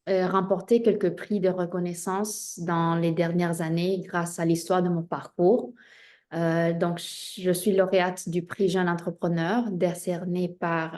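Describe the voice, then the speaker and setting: Measured low-voiced young woman. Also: a little mellow. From Spain. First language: Spanish. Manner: measured, with frequent hesitations. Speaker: interview guest. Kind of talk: self-introduction in an interview.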